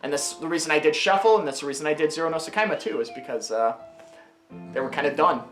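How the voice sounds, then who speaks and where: A man's voice: a little high, quick pace, echoey room, over music. A man giving casual, unscripted commentary on his own series, rambling and often interrupting himself. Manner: rambling, chatty, self-interrupting. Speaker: video creator giving commentary.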